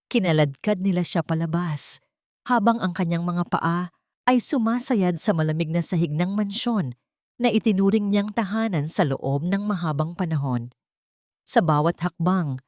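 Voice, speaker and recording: A woman with a fairly low voice narrates a scripted story in a dramatic storyteller's style. The recording is dry, with hardly any room sound. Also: darkish tone, no echo.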